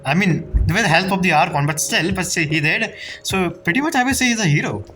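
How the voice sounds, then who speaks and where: A man's voice: sits high, expressive, brisk, pushed and bright. Casual man rambling over game music. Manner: rambling, joking. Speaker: game streamer. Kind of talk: casual playthrough commentary.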